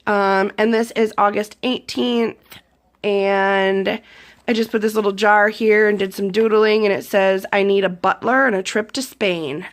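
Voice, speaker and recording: A hoarse woman, around her thirties, chatting casually with a lot of ums while showing her journal pages. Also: medium pitch, fairly even intonation, a little slow. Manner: rambling, chatty, with frequent ums. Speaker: YouTube vlogger. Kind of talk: casual journal flip-through.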